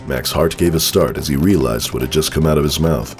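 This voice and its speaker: A man's voice: a bass voice, fairly quick, darkish tone, soft background music. A man giving a dramatic storybook narration of a fan fiction tale.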